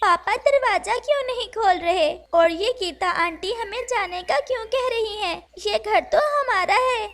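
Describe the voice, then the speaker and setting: A woman's voice: very high voice, measured pace, few pauses, fairly bright tone, full character voice. A woman voicing an innocent, hurt little girl bird in a cartoon, childlike and plaintive. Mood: upset, puzzled. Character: innocent, hurt little girl chick questioning her mother. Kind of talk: Hindi cartoon voice acting.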